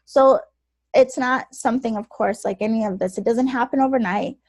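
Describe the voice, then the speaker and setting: Soft-spoken woman podcast host, lively and casual, recorded dry and close. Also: fairly high pitch, normal speed, no echo. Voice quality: naturally soft voice. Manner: chatty, animated, laughs often. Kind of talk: casual chat.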